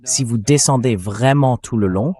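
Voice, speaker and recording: A man reading a translated voice-over as an interview show host, with a husky voice and a measured, explanatory delivery. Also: medium pitch, mellow.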